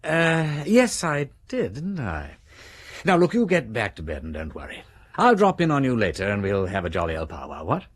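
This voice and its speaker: Breezy, swooping aristocratic English gentleman. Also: mid-range voice, wildly swooping pitch, moderate pace, breathy edge. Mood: jolly, reassuring. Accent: upper-class English. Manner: jaunty, hesitant, old-fashioned upper-class slang. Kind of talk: British radio drama acting.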